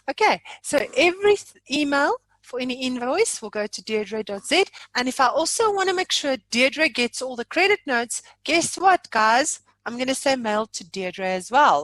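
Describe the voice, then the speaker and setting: A South African woman giving an informal software demonstration, chatty and jokey, poking fun at herself. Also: a little high, sing-song, moderate pace, no echo, poor audio. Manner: chatty, jokey, self-deprecating. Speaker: software trainer.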